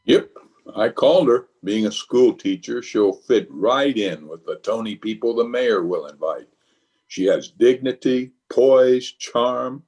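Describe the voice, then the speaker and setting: An actor as a pompous middle-aged boss in an old-time radio comedy. He blusters and stammers, hedging his way around things in a man's mid-pitched voice. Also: animated, unhurried, a little mellow. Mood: eager, pleased. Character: flustered, evasive, pompous middle-aged male water department boss.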